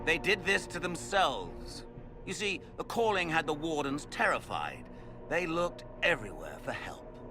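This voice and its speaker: An actor playing a smug, theatrical villain, a cultist mage lord with a pompous, mocking manner in a video game cutscene. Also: male voice, a little high, big pitch swings, unhurried, music in the background. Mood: gloating.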